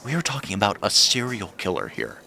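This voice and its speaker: Animated, skeptical guy friend. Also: lowish voice, brisk, vocal fry, full character voice. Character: skeptical, candid male friend of the detective. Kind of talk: naturalistic audio drama acting.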